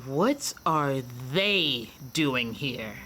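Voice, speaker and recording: A woman playing a brisk, no-nonsense noblewoman in a fantasy radio play, theatrical and dryly comic. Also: rumbling low, lively intonation, noisy background, full character voice. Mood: pained, alarmed. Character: brisk, no-nonsense noblewoman presiding over a sword rite.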